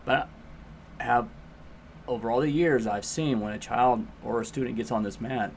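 A man's voice: mid-range voice, steady tone, normal speed, creaky, slight background noise. A man who teaches martial arts, chatting on a podcast and coaching parents with questions. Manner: coaching, asks questions to make a point. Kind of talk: casual podcast chat.

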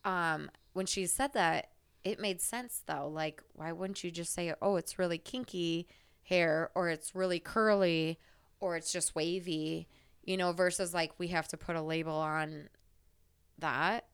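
A woman's voice: lowish voice, steady tone, measured pace, smooth. A woman co-hosting a casual podcast, telling stories in a candid, rambling way and swearing freely. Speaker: podcast co-host, hairstylist.

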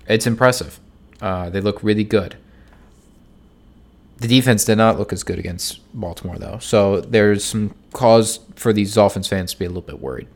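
A man with a slightly low voice, sounding nasal and stuffed-up from a cold. He runs through his betting picks in a relaxed, subdued solo podcast style, filling pauses with ums and restarting his sentences. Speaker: sports betting podcast host. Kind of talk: casual solo sports picks talk.

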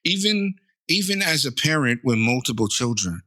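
Man with a lisp and stutter, bright voice. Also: medium pitch, big pitch swings, normal speed. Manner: rambling, emphatic, fillers like "you know". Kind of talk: casual podcast chat.

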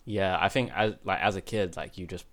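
A man's voice: low-pitched, a little fast, a bit bright. A man in his twenties hosting a podcast, chatting casually with lots of fillers and restarts. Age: 20s. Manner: rambling, many fillers and restarts. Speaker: podcast host. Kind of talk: casual chat.

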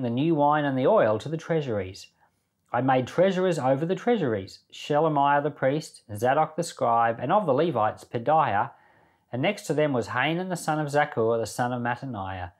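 A man hosting a Bible podcast, reading scripture expressively and with emphasis, then commenting on it informally. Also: medium pitch. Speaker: Bible podcast host and reader.

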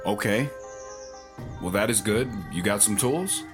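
A man playing a gruff, sardonic farm brother with dry deadpan delivery in an audio drama. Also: on the low side, a little slow, music in the background. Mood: wry, matter-of-fact.

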